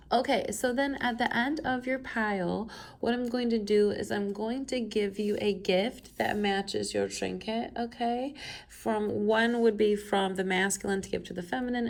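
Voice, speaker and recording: Woman, stuffed up from a cold, gravelly fry, chatty tarot reader, rambling. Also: medium pitch, a little mellow. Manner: chatty, rambling asides with many fillers. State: has a cold, stuffed up and coughing. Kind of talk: casual pick-a-pile tarot reading to camera. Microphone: camera mic.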